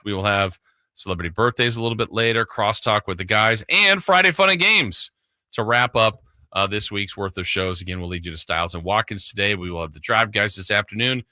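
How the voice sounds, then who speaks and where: A man's voice: fairly low pitch, a little fast, pushed and bright, dry recording, clean studio sound. A man hosting a sports radio show, talking casually and thinking aloud in a conversational way. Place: radio studio. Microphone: close broadcast studio mic.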